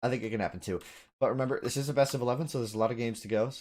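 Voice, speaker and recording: A man hosts a live game stream with an ordinary mid-pitched voice. He commentates casually, addressing his viewers like buddies. His delivery is informal and conversational. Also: very fast, darkish tone. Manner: chatty, informal, addresses chat as dude and buddy.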